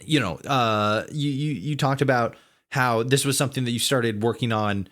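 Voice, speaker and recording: A man hosting a casual interview podcast, building long questions haltingly and repeating words as he goes. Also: medium pitch, a bit bright.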